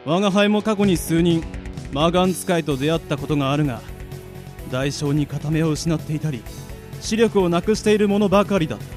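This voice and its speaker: Spirit mentor, radio drama: male actor as a pompous, kindly gentleman, lecturing, pitched high for a man, over soft music. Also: fairly quick, close to an everyday voice. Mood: solemn, explaining. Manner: pompous, old-fashioned, lecturing speech. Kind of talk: anime-style radio drama acting. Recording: background music under the voice.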